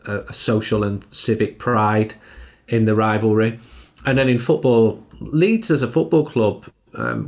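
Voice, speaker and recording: Measured middle-aged man, low voice. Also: a little mellow. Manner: measured, clipped historical points. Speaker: podcast guest. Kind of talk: casual chat.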